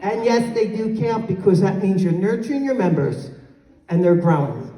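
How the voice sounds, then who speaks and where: A middle-aged woman giving a lively motivational address at a conference, with a loud voice that she sometimes pushes to a yell. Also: mid-range voice, normal speed. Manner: loud, animated, moves the mic away to yell. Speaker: conference speaker. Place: large hotel conference hall. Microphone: handheld mic, filmed from far off. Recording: echoey hall, recorded from far off.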